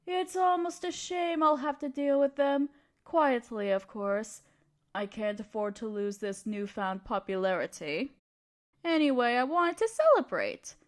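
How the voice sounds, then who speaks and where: Woman acting as an evil queen, high voice, imperious, condescending, theatrical, scheming tyrant, audio drama roleplay. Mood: smug, scheming, then grandly pleased. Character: scheming evil queen, haughty tyrant gloating to her advisor.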